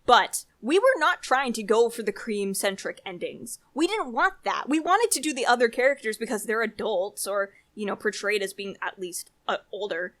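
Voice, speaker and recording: Young woman rambling in a higher voice. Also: expressive, normal speed, hardly any pauses, fairly bright tone. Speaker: podcast co-host. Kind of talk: casual chat.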